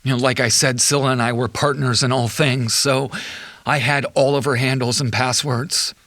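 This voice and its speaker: An actor playing a betrayed husband, an accountant, in a measured, wry and bitter confession for an audio drama. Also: male voice, medium pitch, little pitch movement, a bit bright, dry recording, close to an everyday voice. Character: betrayed husband, an accountant recounting his wife's affair.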